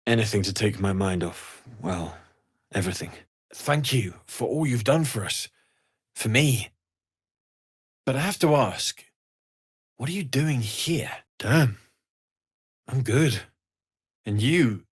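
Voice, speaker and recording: A man voicing a kindly, earnest, world-weary blacksmith in a video game, speaking softly and sincerely. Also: medium pitch, lively intonation, slow, long pauses, breathy edge, exaggerated voice. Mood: earnest, wistful. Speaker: video game character, smith and merchant.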